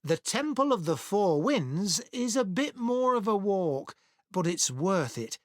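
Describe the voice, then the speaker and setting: A woman's voice: mid-range voice, big pitch swings, a little slow, dry recording, clean studio sound. A woman playing a friendly, organised guide giving helpful explanations in a scripted listening-test monologue. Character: friendly organised tour guide briefing volunteers.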